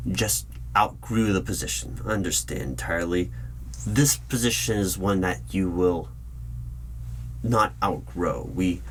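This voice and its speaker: A man playing a polite, guarded hiring manager in an ASMR interview roleplay, speaking aloud in a measured, formal, deliberate way with pauses. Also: low-pitched, poor audio. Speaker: roleplay performer as job interviewer. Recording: steady noise with keyboard typing clicks. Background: computer keyboard typing and clicks.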